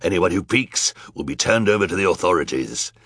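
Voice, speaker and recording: A man voicing a villainous male judge in an audiobook, hoarse, bossy and menacing. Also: low-pitched, expressive, fairly quick, fairly bright tone, no echo, clean studio sound, heavily acted. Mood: menacing, commanding.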